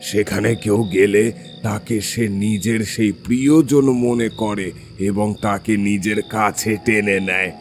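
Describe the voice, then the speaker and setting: A man acts out a grave older village exorcist in a horror audio play. He speaks very slowly and solemnly, in a low, commanding voice heavy with warning. Music plays behind him. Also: steady tone. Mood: grave explaining. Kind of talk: Bengali horror audio drama acting.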